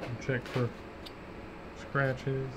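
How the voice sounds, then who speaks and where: A man's voice: medium pitch, little pitch movement, very slow, heavy background noise. A man in his thirties, a mechanic host chatting casually as he rebuilds an engine in his garage workshop. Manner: easygoing, talks while working with his hands.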